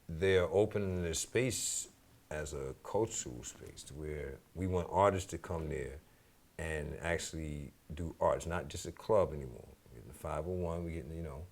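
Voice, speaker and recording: A man with a very low, gravelly voice and a New York accent. He talks casually as a guest in an interview, rambling along in slangy phrases and sprinkling in "you know" and "man". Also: a little mellow. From New York City. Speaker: DJ and music teacher, radio show guest.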